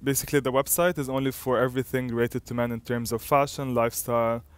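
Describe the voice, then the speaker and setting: A man with a Lebanese accent giving interview answers as a guest expert, with hesitant, measured phrasing. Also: mid-range voice, level tone, smooth. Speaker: guest expert, runs men's lifestyle website.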